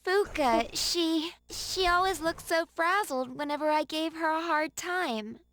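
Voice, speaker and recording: A woman's voice: very high voice, a little slow, fairly bright tone, a little hiss or hum. An actress playing a guilt-ridden teenage schoolgirl bully in a game dub, confessing in a hesitant manner. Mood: guilty, anxious.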